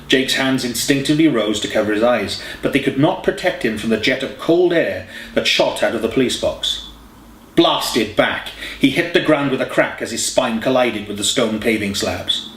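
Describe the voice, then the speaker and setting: A man's voice: medium pitch, fairly bright tone. A man reading a scripted story as an audiobook narrator. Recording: echoey room, distant mic, faint hiss.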